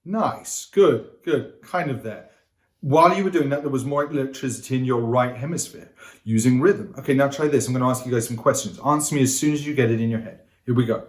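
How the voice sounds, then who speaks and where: A man giving an energetic, interactive lesson, coaxing listeners to join in and speaking to them directly. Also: medium pitch, fairly quick. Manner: upbeat, coaxing, addresses viewers directly. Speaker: teacher. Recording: roomy echo.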